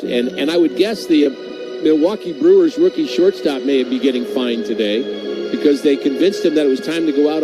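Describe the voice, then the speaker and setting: A man doing live baseball play-by-play on the radio, conversational and wry between pitches. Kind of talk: live radio sports commentary with casual banter. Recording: noisy ballpark radio broadcast.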